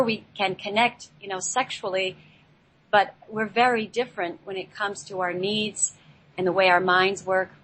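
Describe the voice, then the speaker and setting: Halting woman over background noise. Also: mid-range voice, a bit bright, rough recording. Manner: halting, searching for words, repeats herself. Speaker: talk show guest and spiritual healer. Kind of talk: unscripted spiritual talk.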